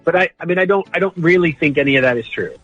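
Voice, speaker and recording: A man calling in to a radio show, telling his story casually in an earnest, halting way. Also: fairly high pitch, animated, fairly bright tone, husky, highs cut off, soft background music. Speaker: caller.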